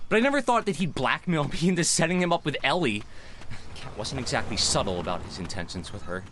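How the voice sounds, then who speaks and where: A man's voice: medium pitch, fairly bright tone. Young male lead: middle register, conscience-stricken, earnest, anxious confessor of radio-style drama, faint background noise. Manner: anxious, halting, sincere. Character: earnest, remorseful young man narrating his own confession. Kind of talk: first-person audio drama narration and dialogue.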